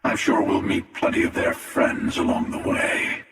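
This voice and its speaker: An actor playing a cynical, menacing, world-weary interdimensional hunter in a video game, with a gravelly, sardonic delivery. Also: male voice, low-pitched, flat delivery, a bit bright. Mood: cool, threatening. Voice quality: gravelly, creaky. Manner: slow, sardonic, taunting. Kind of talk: video game character voice lines. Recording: cavernous reverb, distant-sounding voice.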